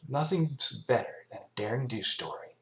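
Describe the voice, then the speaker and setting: Brash, keen young cartoon pegasus mare read by a man, lifted well above a normal male pitch. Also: normal speed, gravelly, close to an everyday voice. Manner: brash, enthusiastic. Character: bold, brash young female pegasus pony bookworm.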